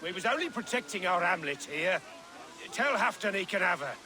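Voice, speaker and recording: A rough village man in a game cutscene, his voice pitched high and tense. Outdoor ambience hums loudly behind him. He talks with rustic, unpolished grammar. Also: very animated, normal speed, background noise. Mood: defiant, then nervous. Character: nervous rough male villager backing down. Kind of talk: video game cutscene acting. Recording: game audio over loud outdoor ambience.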